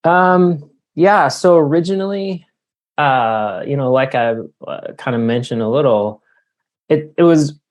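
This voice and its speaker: A man's voice: fairly high pitch, measured pace. A middle-aged man giving reflective, somewhat hesitant answers as an author and leadership coach being interviewed.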